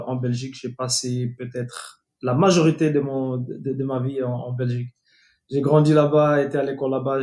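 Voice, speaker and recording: A man's voice: medium pitch, darkish tone. A young man from Guinea, a podcast guest telling his life story in casual talk, with many fillers and restarts. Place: home in Atlanta. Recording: echoey room over a remote call.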